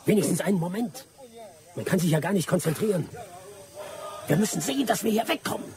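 An actor playing a bitter, vengeful adventurer in a German radio play, telling things with dramatic emphasis. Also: male voice, sits high, lively intonation, a little fast, gravelly, a little mellow. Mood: irritated. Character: bitter, vengeful adventurer Anthony, a betrayed Englishman.

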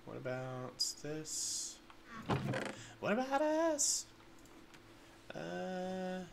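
A man's voice: high voice, wildly swooping pitch, drawn-out words, vocal fry, background noise. A congested man rambling through casual, unedited gameplay commentary and talking to his chat. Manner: rambling, chatty, addresses chat as guys. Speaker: gaming creator.

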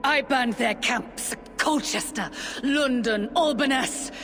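A proud ghost of a warrior queen, slow and slightly high in voice, with a fiery temper under solemn delivery. Long reverb surrounds her, with music playing clearly behind. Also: a bit bright. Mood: fierce, proud. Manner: solemn, dramatic, deliberate. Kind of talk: dramatic video game monologue.

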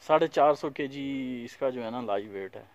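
A man's voice: mid-range voice, moderate pace, no room sound, muffled recording. A man who owns a cattle farm, casually showing animals for sale outdoors in a dusty farmyard, pointing out each one's features plainly and repetitively. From Pakistan. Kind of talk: casual walkthrough vlog.

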